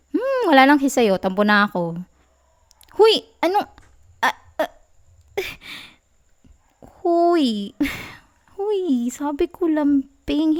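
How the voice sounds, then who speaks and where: A young woman playing a clingy, pouty, playful girlfriend in an intimate ASMR roleplay, with a Filipino accent and a soft, whiny, teasing delivery. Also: high-pitched.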